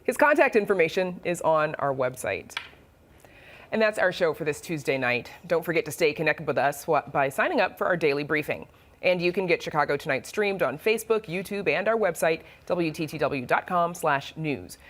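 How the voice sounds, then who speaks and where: Lowish-voiced woman news anchor. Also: animated, moderate pace. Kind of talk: scripted broadcast sign-off. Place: television studio.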